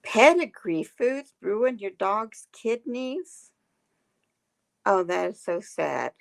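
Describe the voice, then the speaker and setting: A woman, hosting a casual livestream and chatting with viewers in a folksy way, her voice getting hoarse. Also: mid-range voice, expressive, takes it slowly. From Texas. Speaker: livestream host. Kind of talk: casual chat reading viewer comments.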